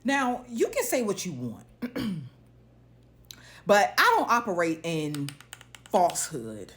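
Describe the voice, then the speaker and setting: A woman's voice: lowish voice, big pitch swings, slow, fairly bright tone. A woman giving an opinionated monologue to viewers, blunt and emphatic, posing pointed rhetorical questions as she goes. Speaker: social media commentator.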